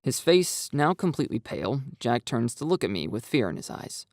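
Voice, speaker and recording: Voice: mid-range voice, big pitch swings, moderate pace, dry recording. A narrator reading a dramatised first-person story in a scripted style. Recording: dry, music behind. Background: background music.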